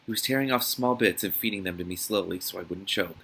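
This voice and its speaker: A man reading a novel aloud as host of a reaction series, in a dramatic, expressive manner. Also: low-pitched, fast talker, slightly rough.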